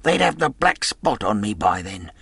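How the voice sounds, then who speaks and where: A man voicing a weak, drunken old sea captain who wheedles and blusters in sailor slang, with a gravelly, husky voice. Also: on the low side, sing-song, moderate pace, a bit bright, dry recording, exaggerated voice. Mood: blustering. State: weak, feeble voice.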